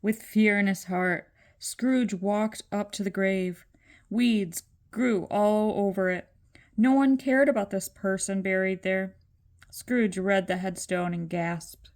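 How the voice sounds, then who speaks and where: An older woman narrates a children's storybook like a grandmother reading aloud. Her pace is slow and deliberate, and her voice sits at an average pitch for a woman. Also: steady tone, darkish tone.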